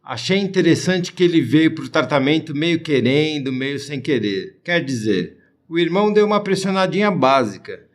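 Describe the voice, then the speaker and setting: A man's voice: fairly high pitch, animated, moderate pace. Man co-hosting a podcast in a lighter voice. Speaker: podcast co-host. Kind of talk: scripted podcast dialogue.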